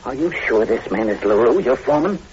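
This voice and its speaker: An actor playing a sceptical, gentlemanly doctor, nervous, cautious and proper in an old-time radio horror drama. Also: male voice, medium pitch, expressive, brisk, natural voice. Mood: grave, disbelieving. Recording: muffled old radio recording with hiss.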